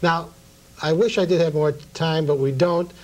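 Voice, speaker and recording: Local TV host: middle-aged man, slightly high voice. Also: fairly even intonation, moderate pace. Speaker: talk show host. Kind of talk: local cable talk show hosting. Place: small TV studio at a table.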